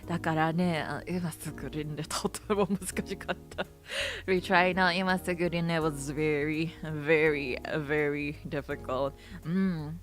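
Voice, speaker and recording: A woman streamer chatting casually with a low voice, giggling often and mixing in Japanese phrases. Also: fairly even intonation, normal speed. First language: not Japanese. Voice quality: lower voice, prefers low register. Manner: chatty, giggly, switches between English and Japanese. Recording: faint music behind.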